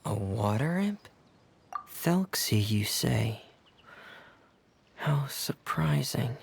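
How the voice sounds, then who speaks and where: Slow, shy young diver. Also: male voice, medium pitch, expressive, creaky, slight background noise, heavily acted. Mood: quiet, thoughtful. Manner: hesitant, understated. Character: shy, soft-mannered young male diver, apologetic and reserved. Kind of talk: video game voice acting.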